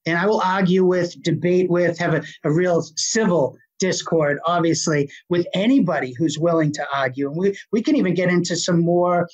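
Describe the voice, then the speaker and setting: A middle-aged speaker with a Boston accent, answering an interview question in a confident, forceful, passionate way. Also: mid-range voice, moderate pace, few pauses. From Boston, USA. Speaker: guest, health advocate. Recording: dull lo-fi recording.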